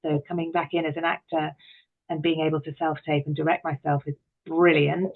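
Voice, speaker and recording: A woman's voice: low voice, little pitch movement, a little fast, husky. A middle-aged woman speaking as an interview guest, reflective, articulate and emphatic, on muffled call audio.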